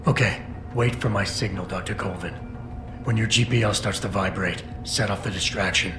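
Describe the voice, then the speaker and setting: An actor playing a stoic, gruff male agent in a video game, terse, measured and deadpan. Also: fairly low pitch, close to an everyday voice. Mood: stern, guarded. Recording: roomy reverb, music underneath.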